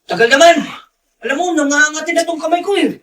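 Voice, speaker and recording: A man's voice: extremely high pitch, fast, fairly bright tone, recorded from a distance. An actor playing an impatient young male gang member in a radio drama.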